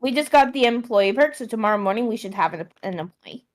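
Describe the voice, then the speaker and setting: A woman's voice: a little high, expressive, fast talker. Chatty woman reading game text aloud. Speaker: guest gamer. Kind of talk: casual chat while gaming.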